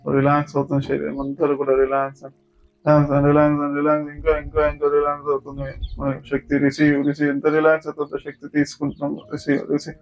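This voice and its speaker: A man with a Telugu accent leading a guided yoga nidra meditation as a teacher, giving slow, repetitive, soothing instructions. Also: a little high, completely flat delivery. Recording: dull lo-fi sound with music. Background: background music.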